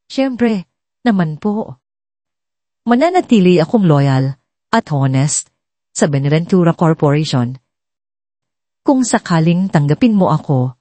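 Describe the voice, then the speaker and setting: A woman's voice: fairly low pitch, big pitch swings, measured pace, long pauses, dark tone, no room sound. A Filipino woman voicing a frank, polite young female job applicant in a story, respectful and candid. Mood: earnest. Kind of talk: storybook drama dialogue.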